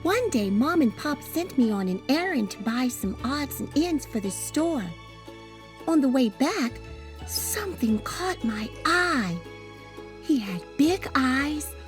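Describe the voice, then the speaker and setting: A woman reads a children's storybook aloud in a fairly high, unhurried voice. Her delivery is expressive storytelling, with soft tinkling music underneath. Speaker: storybook reader. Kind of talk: expressive scripted read-aloud of a first-person children's story.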